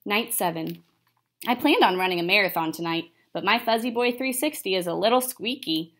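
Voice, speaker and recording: A woman's voice: medium pitch, a little fast, fairly bright tone. A young woman, a teacher, reading a children's picture book aloud expressively in a classroom. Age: young adult. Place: indoor classroom.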